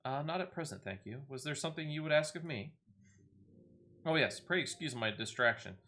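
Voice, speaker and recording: A man's voice: a little high, animated, brisk, pushed and bright, pitched above the performer's own voice. A man voicing a composed, courteous female leader in a fantasy video game, with formal phrasing.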